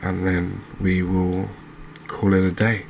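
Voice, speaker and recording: A man giving gentle, unhurried ASMR commentary as he plays a game, pausing and hesitating as he goes. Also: sits low, monotone, gravelly, slight background noise. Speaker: host of a gaming channel. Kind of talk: ASMR let's-play commentary.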